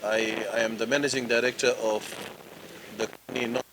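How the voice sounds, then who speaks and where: A middle-aged man speaks as a company director, explaining his business steadily and moving between languages. His voice sits at an ordinary pitch. A strong wash of background noise runs through the recording. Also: little pitch movement. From Tanzania. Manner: measured, explanatory, switching languages. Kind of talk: business presentation. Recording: noisy on-site recording.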